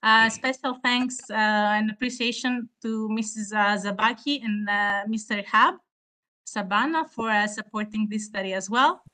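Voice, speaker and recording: Greek woman presenter, clear bright voice, earnest and hesitant. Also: medium pitch, fairly even intonation, moderate pace. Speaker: award-winning student presenter. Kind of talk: formal online conference presentation.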